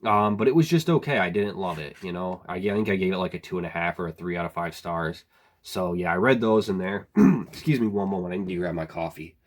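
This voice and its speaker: A man with a slightly hoarse voice from a cold, chatting casually in a book review vlog, rambling with many fillers and clearing his throat now and then. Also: low voice, quick pace. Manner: relaxed, rambling, frequent "like" and "um", clears throat often.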